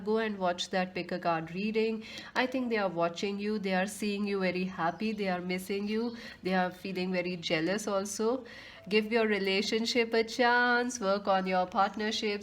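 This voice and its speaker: A woman's voice: medium pitch, a little hiss or hum. A woman with a sweet voice doing a casual tarot card reading, speaking earnestly to viewers and calling them friends. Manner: earnest, repeats herself, addresses listeners as friends. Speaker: tarot reader.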